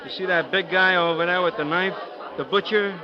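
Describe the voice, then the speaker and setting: A man's voice: high voice, steady tone, a little fast, crowd noise, close to an everyday voice. An actor playing a blunt, offhand supermarket vegetable man in a 1950s radio drama. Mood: amused, blunt. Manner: terse, offhand, slangy. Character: blunt, casual male supermarket vegetable worker. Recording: muffled 1950s radio recording.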